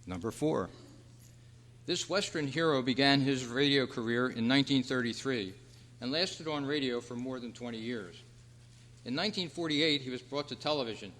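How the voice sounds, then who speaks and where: A man's voice: medium pitch, moderate pace, a bit bright. A man hosting a trivia quiz at a club meeting, reading questions aloud to the room. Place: indoor meeting room at a convention. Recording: echoey hall, long reverb.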